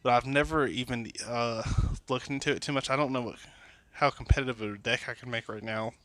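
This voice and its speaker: A man's voice: mid-range voice, fairly even intonation, fairly quick, fairly bright tone, no echo. A man showing his card collection in a casual vlog, a bit tipsy and sniffly from a cold, rambling with many fillers and restarts, recorded on a phone. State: tipsy from drinking. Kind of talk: casual show-and-tell vlog. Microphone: phone camera.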